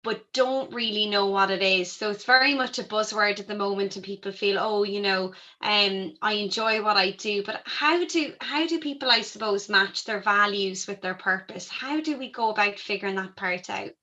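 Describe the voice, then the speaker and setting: A woman's voice: medium pitch, moderate pace, few pauses, pushed and bright, highs cut off. A young woman hosting a podcast, enthusiastic and effusive as she introduces and questions her guest. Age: young adult. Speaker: podcast host. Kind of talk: podcast interview.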